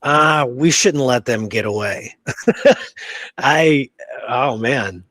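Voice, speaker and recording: A man with a mid-range voice chats as a podcast co-host. He works through his thoughts out loud in loose, slangy language, recorded dry with hardly any room sound. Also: mid-range voice, extremely slow, no echo. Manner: thinks aloud, informal slangy wording. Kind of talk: casual discussion.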